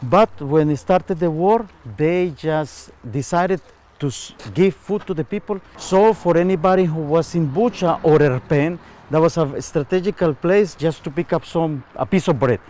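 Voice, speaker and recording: A man's voice: fairly high pitch, normal speed, a little mellow. A man, a chef guiding a visitor on location, speaking earnestly with restarts. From Colombia. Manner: earnest, with frequent restarts and fillers. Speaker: chef and local guide. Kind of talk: on-location interview, explaining. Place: bakery, on location.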